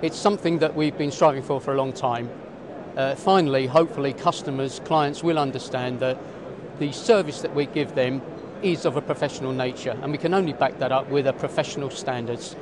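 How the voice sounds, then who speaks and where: A man's voice: mid-range voice, fairly quick, crowd noise. A middle-aged man, an insurance broker, giving a formal, earnest vox pop answer at a busy exhibition hall. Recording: background hall noise.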